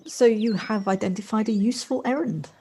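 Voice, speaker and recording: A woman's voice: medium pitch, fairly quick, close to an everyday voice. A woman acting a polite, slightly hesitant, well-spoken woman in an audio play, recorded at home. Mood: friendly, polite. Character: Jocelyn, polite warm woman settling into a house. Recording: home recording on personal device, faint noise.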